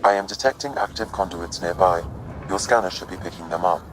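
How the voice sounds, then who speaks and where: An actor playing a calm, precise artificial intelligence in a video game, speaking in a measured, formal way. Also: male voice, deep voice, background noise, close to an everyday voice. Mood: composed. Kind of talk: video game cinematic acting.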